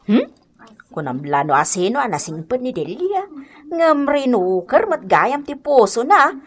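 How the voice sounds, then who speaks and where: A woman's voice: a little high, big pitch swings, unhurried, a little hiss or hum. A woman playing a nosy, insistent, scornful gossiping neighbor in an Ilocano radio comedy drama, with an emphatic, suspicious way of talking. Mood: gossipy, insistent.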